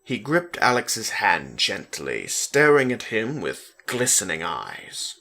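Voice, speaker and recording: A narrator giving an expressive, deliberate dramatic reading of a story. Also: mid-range voice, creaky.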